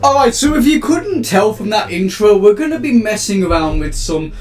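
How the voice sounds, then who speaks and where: A young man giving casual, excitable gameplay commentary as a gaming channel host, rambling and panicking aloud as things go wrong. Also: sits high, moderate pace. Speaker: gaming YouTuber. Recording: roomy, distant mic with background music.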